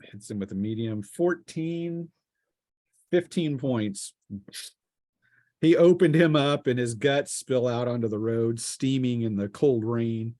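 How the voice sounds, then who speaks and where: A man acts as game master at a tabletop session, narrating the action in a casual, descriptive way. His voice sits at a middling pitch, and the sound is dry with little room in it. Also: slow, warm tone, no echo.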